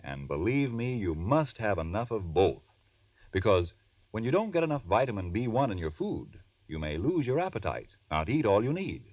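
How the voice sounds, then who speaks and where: A male vintage radio announcer with a deep, very animated voice. He delivers formal, emphatic sponsor copy in 1940s style. The recording is muffled and a little distant. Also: normal speed, no room sound. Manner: formal, emphatic, earnest salesmanship.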